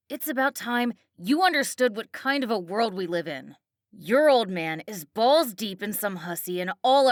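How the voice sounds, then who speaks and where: A woman's voice: medium pitch, fairly bright tone, no room sound, clean studio sound. A woman voicing a foul-mouthed, jealous, bullying mother on drugs, ranting in slang for an audiobook. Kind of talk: audiobook character acting.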